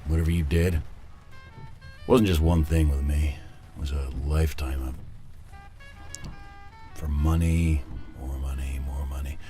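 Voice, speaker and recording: A silver-haired rich man who has died, in an audio drama. His voice is very low and weary, and he speaks in terse, bitter, scornful phrases over faint music. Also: fairly even intonation, measured pace, pauses often. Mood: bitter regret. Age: older. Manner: weary, terse, quietly cynical. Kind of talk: modern audio drama acting.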